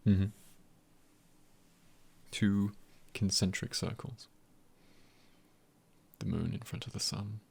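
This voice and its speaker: A very deep male voice with a gravelly crackle from a man acting it out. He is an easygoing, comforting friend in an ASMR roleplay. He talks gently and unhurriedly, husky and breathy, with a playful, teasing streak. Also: fairly even intonation, heavily acted. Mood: calm, soothing. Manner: gentle, hesitant pauses, lightly teasing.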